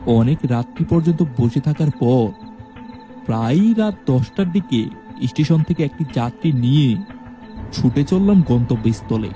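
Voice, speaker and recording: Dark-voiced male horror storyteller. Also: mid-range voice, soft background music. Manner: steady first-person storytelling, measured. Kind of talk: scripted horror story narration.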